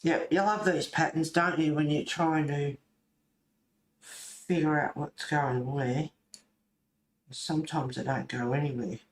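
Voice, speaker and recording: A woman's voice: deep voice, fairly even intonation, unhurried, pauses often. A woman hosting casually, musing aloud in short, loose phrases as she works on a hobby. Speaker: host of a colouring channel. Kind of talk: casual chat while colouring. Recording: distant mic, echoey room, faint noise.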